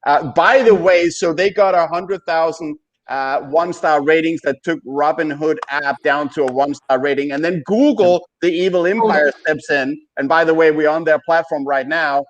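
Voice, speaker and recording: A man hosting a casual panel over a video call, with a halting, hesitant delivery. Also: a little high, muffled recording. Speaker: host.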